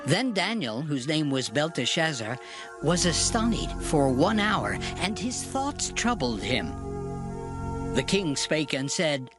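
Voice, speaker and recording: A man's voice: a little high, big pitch swings, slow pace. A man narrating a dramatized scripture reading as a solemn storyteller. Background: music.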